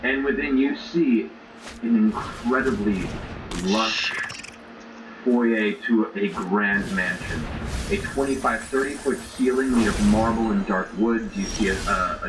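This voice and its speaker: A man's voice: lowish voice, unhurried. A man narrating a tabletop game as game master, describing scenes to the players. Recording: roomy distant mics with noisy background audio.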